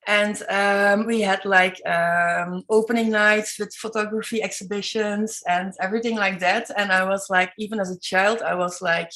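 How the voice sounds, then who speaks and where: A woman's voice: medium pitch, steady tone, moderate pace, hardly any pauses, sharp tone. Hesitant Belgian woman telling her story. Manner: hesitant, many ums and self-corrections, non-native English. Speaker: artist guest. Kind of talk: interview storytelling.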